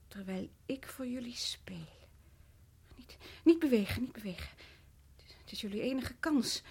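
High-voiced, haunted, fragile wife. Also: female voice, husky, full character voice. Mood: hushed, eerie. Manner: stammering, breathless, whispery, anxious. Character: fragile, haunted, delusional wife obsessed with a statuette. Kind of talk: radio play acting.

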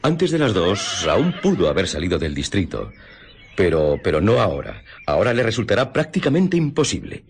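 An actor playing a dutiful, slightly hesitant police chief in a Spanish radio drama, deferential and businesslike, with a Castilian accent. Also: male voice, low voice, huge pitch swings, moderate pace, slight background noise, heavily acted. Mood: businesslike, reporting.

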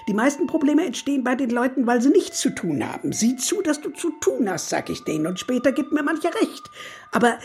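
An actress playing a self-righteous, gossipy neighbour in a radio drama, chatty and emphatically self-justifying. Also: female voice, mid-range voice, gravelly, darkish tone. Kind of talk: German radio drama acting.

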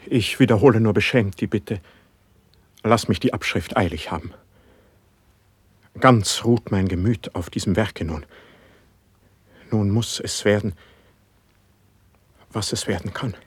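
A brooding poet in a classical verse radio play. His voice is low and husky, recorded close and dry. He declaims with fervour, restless and melancholy, as if driven by his work. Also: measured pace, long pauses, dry recording. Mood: earnest, restless. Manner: declaimed, fervent stage diction.

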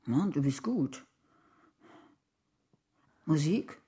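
An actress playing a nervous, shaken woman accomplice in a German radio crime drama, given to breathy exclamations. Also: low voice, expressive, very slow, mellow, heavily acted. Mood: conspiratorial, dry.

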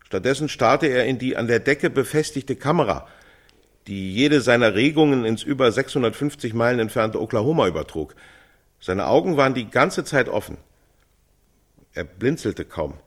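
An actor playing a grave, sober male eyewitness who gives halting, detailed testimony in a radio play. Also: mid-range voice, animated, natural voice. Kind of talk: radio play monologue styled as witness testimony.

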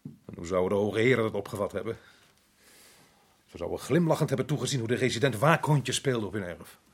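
An actor playing a harried, indignant middle-aged colonial resident in a radio drama, exasperated and sarcastic. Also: male voice, on the low side, animated, a little fast, slightly rough.

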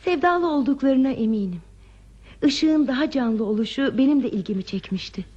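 A woman playing a curious, romantic visitor in a radio play, with expressive, dreamy, theatrical phrasing. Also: high-pitched, moderate pace, muffled recording. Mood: enchanted, dreamy. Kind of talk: Turkish radio theatre acting.

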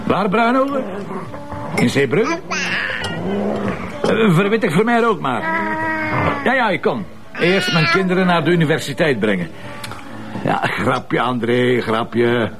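A man's voice: fairly high pitch, lively intonation, a little slow, pauses often, loud music behind the voice. A man playing a brusque, wry police commissioner and doting father in a Flemish TV drama, colloquial and impatient. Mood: brisk, joking. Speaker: actor in a TV police series. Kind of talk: naturalistic TV drama dialogue.

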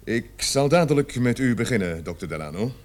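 An actor playing a stern, insistent police officer in a radio play, questioning formally and with authority. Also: male voice, medium pitch, lively intonation, brisk, natural voice. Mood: probing. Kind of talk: Dutch radio play acting.

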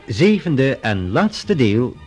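A man's voice: medium pitch, big pitch swings, drawn-out words. A male announcer solemnly reading scripted titles for a radio drama. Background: loud music.

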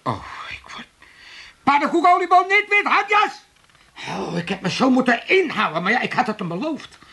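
An actor playing a crotchety, mocking old Amsterdam father in a comic radio play, gravelly, muddled, stammering and repeating himself. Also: high voice, very animated, a little fast, pushed and bright, full character voice. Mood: exploding. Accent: Amsterdam Dutch. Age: older. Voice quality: raspy, gravelly.

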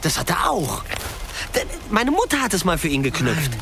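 An actor in a radio crime drama playing a smug, menacing crime boss who acts cheerfully polite and chatty. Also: male voice, high-pitched, wildly swooping pitch, moderate pace, heavily acted. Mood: sly, probing. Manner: theatrical, mock-friendly, chatty. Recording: loud music under the voice.

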